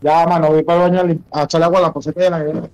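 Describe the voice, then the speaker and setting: A man's voice: fairly high pitch, level tone, very fast, darkish tone, dry recording, rough recording. A Venezuelan man chatting casually with other players during an online game, talking in crude, foul-mouthed slang. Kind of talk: casual gaming voice chat with slang.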